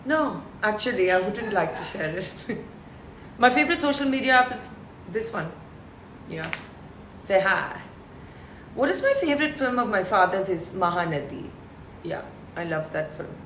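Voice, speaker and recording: A woman in her thirties with a deep voice, chatting casually with fans on a live stream and reading out their questions. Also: animated, moderate pace, long pauses, a bit bright, echoey room, slight background noise, rough recording. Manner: chatty, laughs often, reads out questions.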